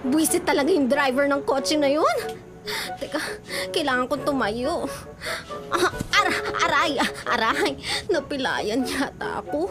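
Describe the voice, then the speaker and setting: High girlish young filipina in a radio drama, flustered n feisty, whiny, music playing behind her. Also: a little slow. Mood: whining, pained. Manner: expressive, whiny, Taglish-sprinkled.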